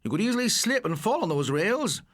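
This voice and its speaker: A man as a sardonic, lively-pitched male schoolteacher. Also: a little fast, no room sound, studio quality, heavily acted. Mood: stern, instructive. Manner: sardonic, instructive. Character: dry, sarcastic male schoolteacher, strict and lecturing. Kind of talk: audiobook character voice.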